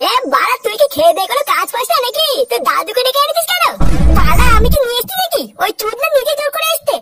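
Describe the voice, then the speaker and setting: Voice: very high voice, sing-song, quick pace, heavily acted. A performer voicing a cheeky, foul-mouthed village schoolboy for a comedy cartoon, talking in brash, complaining slang. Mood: defiant, irritated. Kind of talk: Bengali comedy cartoon dubbing. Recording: loud music behind the voice. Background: music.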